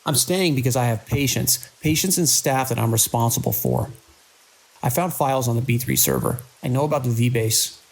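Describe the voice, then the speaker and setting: Guy acting a hospital director in a horror audio drama, husky gravelly voice, earnest n urgent, trying to save everyone. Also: mid-range voice, big pitch swings, rough recording. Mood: defiant, indignant. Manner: earnest, urgent, halting between phrases. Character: beleaguered, idealistic male hospital director, defiant in crisis.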